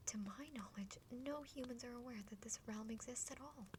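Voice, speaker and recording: A woman voicing a friendly, curious younger half-dragon sister in a breathy, chatty whispered ASMR roleplay. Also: fairly high pitch, brisk, lightly acted. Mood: amused, curious. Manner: chatty, playful, hesitant stammers. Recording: faint background noise.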